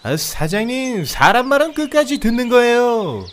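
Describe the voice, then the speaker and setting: A man's voice: very high voice, big pitch swings, normal speed, pitched above the performer's own voice. A man voicing a pleading, wheedling talking deer in a comedic audio fairy tale, obsequiously polite like a salesman. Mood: exasperated. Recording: outdoor nature ambience, birdsong behind.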